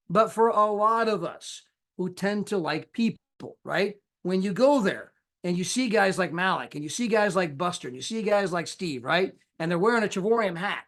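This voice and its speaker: A man giving an energetic sales pitch on a live call, casual and folksy, acting out the people he quotes. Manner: animated, acts out quoted people with big pitch jumps. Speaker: sales presenter.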